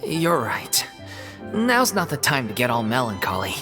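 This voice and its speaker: An actress voicing a gloomy, worried member of a theatre troupe in a video game, slow and downcast. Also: female voice, very deep voice, very animated, loud music behind the voice, heavily acted. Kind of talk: video game cutscene voice acting.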